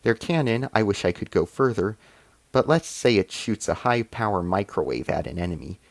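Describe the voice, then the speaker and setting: A man's voice: on the low side, lively intonation, normal speed, no echo. A man voicing a haughty alien female ambassador in a story, explaining and commanding with cold menace. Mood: cool boasting.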